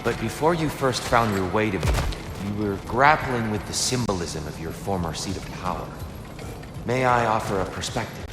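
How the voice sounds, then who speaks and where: A man acts a measured, wise god of war for a video game. The voice is fairly low, eloquent and deliberate, probing like a counsellor. It rings in a long echoing hall with loud music behind. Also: lively intonation, natural voice. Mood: earnest, counselling. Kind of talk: dramatic video game acting. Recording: cavernous reverb under loud music.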